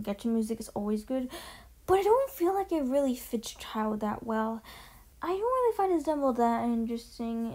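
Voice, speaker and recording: A young woman with a fairly high but very soft-edged, mellow voice gives casual commentary. She talks in an informal, slangy way and leans on her opinions with emphasis. Music plays under her voice. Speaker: video creator ranking game trailers.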